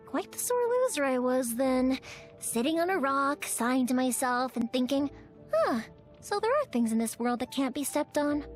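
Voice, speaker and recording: An actress voicing a cheerful, chatty young woman who makes fireworks in a video game, playful and expressive. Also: high-pitched. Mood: fond storytelling. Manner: bubbly, expressive, talkative. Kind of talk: animated video game character voice acting.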